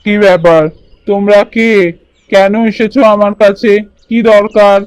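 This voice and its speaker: Man acting a high-voiced commanding bird king. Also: fairly even intonation, a little slow, clean tone, no room sound. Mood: questioning. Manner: authoritative, questioning. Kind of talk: children's cartoon voice acting.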